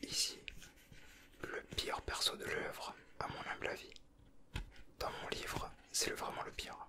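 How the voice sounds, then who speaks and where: A man giving casual, teasing ASMR commentary in a breathy whisper, close to the microphone. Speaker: ASMR video creator.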